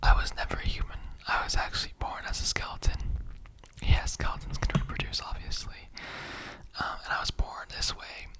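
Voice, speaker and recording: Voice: normal speed, poor audio. Whispered ASMR roleplay, casual skeleton character, mildly offended, rambling, conversational, slangy.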